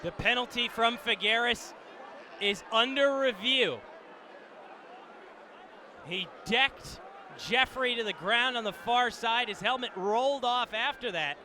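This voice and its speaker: A man's voice: very high voice, slow pace, pauses often, bright, forceful tone. A man giving live color commentary and analysis on a radio sports broadcast. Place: sports venue broadcast position. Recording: clear venue noise behind commentary.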